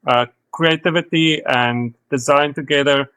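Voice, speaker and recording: A man's voice: a little high, steady tone, unhurried, pushed and bright, clear, resonant tone, dry recording. A man in his thirties with a South African accent, talking as a guest in a podcast interview, hesitant, with many fillers and restarts. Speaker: guest, studio co-founder.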